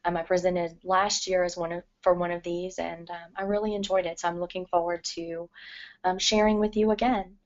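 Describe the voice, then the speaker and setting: Thirties woman presenter, scratchy and earnest, filler-heavy delivery, slightly low voice, dull lo-fi sound. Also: flat delivery, a little fast. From Louisiana. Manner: frequent ums, careful and earnest. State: scratchy voice from allergies. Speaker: literacy professor and adult educator. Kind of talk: presentation to educators. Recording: dull lo-fi recording.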